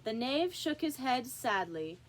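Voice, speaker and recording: A woman reading a children's story aloud in an expressive narrating style. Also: high-pitched, measured pace, rough recording. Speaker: storybook reader.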